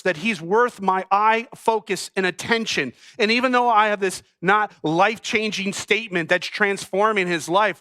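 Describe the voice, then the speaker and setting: A middle-aged man from New England delivers a sermon from a church stage, and his voice sits high and bright. He tells stories with animated energy and acts out snippets of conversation along the way. The sound is dry and close, with little room echo. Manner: animated storytelling with acted-out dialogue. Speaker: pastor.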